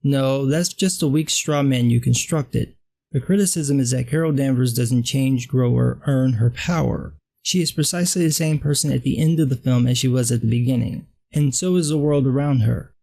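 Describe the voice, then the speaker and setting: A man's voice: medium pitch, normal speed, vocal fry, warm tone, rough recording. A man with a dry voice delivering a sarcastic, blunt scripted film commentary. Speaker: film critic vlogger.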